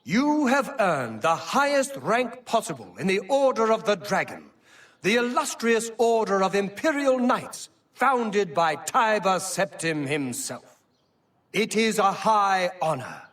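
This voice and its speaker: An actor playing an earnest, formal imperial chancellor in a fantasy game, with declamatory and emphatic delivery. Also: male voice, sits high, big pitch swings, unhurried, fairly bright tone, far from the mic, full character voice. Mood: proud, ceremonial. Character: earnest imperial high chancellor, formal, stately, concerned statesman. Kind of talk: dramatic fantasy game acting.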